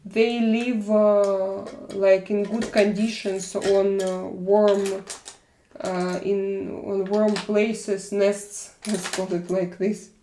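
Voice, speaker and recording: A woman from Russia, hosting a casual live stream chat and searching for her words in halting English. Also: mid-range voice, level tone, warm tone, slight background noise, poor audio.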